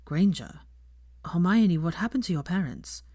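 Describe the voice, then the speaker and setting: A young wizard man acted by a woman narrator speaks in a low drawl. He is blunt and probing, concerned yet faintly peeved. The storybook dialogue sounds dry and close. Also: sing-song, normal speed, warm tone, no room sound. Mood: alarmed, insistent.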